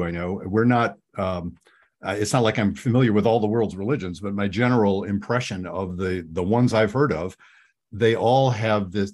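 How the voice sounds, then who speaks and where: Low voice dude hosting a podcast, thinking out loud, lots of restarts. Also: male voice. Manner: thoughtful, searching, with restarts. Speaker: podcast host, couples therapist. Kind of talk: casual podcast conversation.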